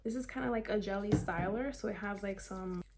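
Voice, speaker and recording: A woman's voice: mid-range voice, brisk, darkish tone. Chatty young woman vlogger. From South Florida. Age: 20s. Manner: chatty, upbeat, lots of "like" and "kinda". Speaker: beauty vlogger. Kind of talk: casual hair tutorial vlog.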